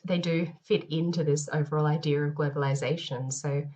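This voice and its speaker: Low, nasal woman with a cold. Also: fairly even intonation, fairly quick, darkish tone. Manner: hesitant, many ums and restarts. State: head cold, nasal and losing her voice. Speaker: university lecturer. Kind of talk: recorded online lecture. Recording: dull lo-fi lecture recording.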